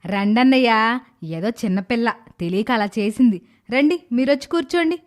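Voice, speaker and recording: A woman's voice: fairly high pitch, lively intonation, normal speed, natural voice. A woman voicing a kindly, indulgent middle-aged mother-in-law in a dramatised moral story, with a coaxing manner. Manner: coaxing, placating.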